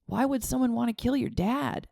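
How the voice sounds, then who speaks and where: A woman's voice: on the high side, moderate pace, gravelly, no room sound, studio quality, voice pushed up. A woman voicing a kind, earnest young boy who comforts a friend. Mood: puzzled. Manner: earnest, gently reassuring.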